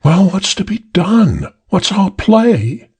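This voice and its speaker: A man voicing a young, exasperated woman in a gang, pitching his voice up. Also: sing-song, slow pace, darkish tone, husky, exaggerated voice. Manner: exasperated, pleading.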